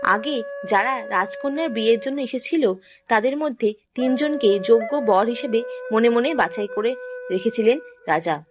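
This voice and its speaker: A woman's voice: fairly high pitch, expressive, moderate pace. A woman narrating a fairy tale from a script as a storyteller, with music behind her. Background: background music.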